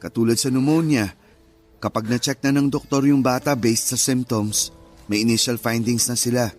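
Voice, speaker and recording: A man playing a calm, reassuring young husband and new father, explaining things patiently in a radio drama. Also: medium pitch, moderate pace, faint music behind, lightly acted.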